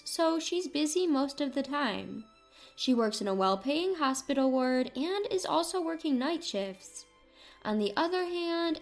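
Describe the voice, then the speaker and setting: High-pitched woman narrating a story. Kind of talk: scripted first-person story narration.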